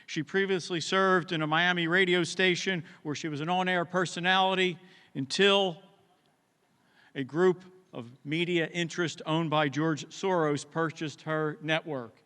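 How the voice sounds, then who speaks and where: A man's voice: fairly high pitch, pushed and bright, clean tone. A man formally introducing the next speaker at a conference. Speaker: event presenter introducing a speaker. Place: large hall at a conference. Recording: reverberant hall, amplified speech.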